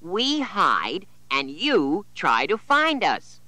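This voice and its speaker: Cheerful young boy for a storybook, voiced by a man, bright and pitched high. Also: very slow, no echo, full character voice. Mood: eager. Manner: excited.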